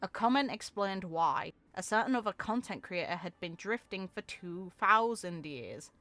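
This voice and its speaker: Bright-voiced woman reading game lore aloud. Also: lowish voice, expressive, moderate pace, no echo. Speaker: video game content creator.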